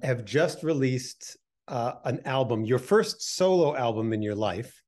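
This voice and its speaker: Male interview host with an animated, lively pitch; friendly and joking, with some hesitant fillers. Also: a little slow. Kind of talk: casual interview.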